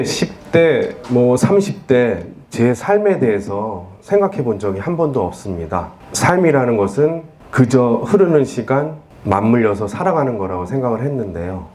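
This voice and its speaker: A man's voice: medium pitch, darkish tone. A man in his forties, an author giving a talk to an audience, speaking earnestly and in a self-deprecating, confiding way. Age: 40s. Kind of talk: lecture to an audience. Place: lecture room at a book event.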